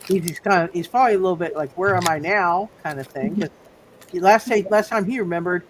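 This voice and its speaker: A man running a live tabletop roleplay as game master, explaining casually in a halting way with repeated phrases. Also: sits high, noisy background.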